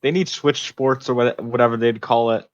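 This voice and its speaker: A man's voice: medium pitch, fairly even intonation, normal speed. A man co-hosting a casual podcast, speaking bluntly and informally on muffled, lo-fi audio. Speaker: podcast co-host. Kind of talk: casual chat. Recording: dull, lo-fi voice audio.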